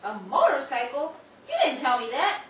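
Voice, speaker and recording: A woman's voice: high-pitched, normal speed, highs cut off. A woman playing a wry, wisecracking eldest sister who keeps the peace in an old-time radio drama. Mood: exclaiming. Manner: animated, wisecracking. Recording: roomy, distant mic, faint noise.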